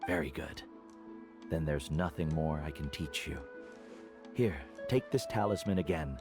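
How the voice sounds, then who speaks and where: Very deep, wise old male mentor. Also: over music. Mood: calm, knowing. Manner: measured, sage-like. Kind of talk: video game voice acting.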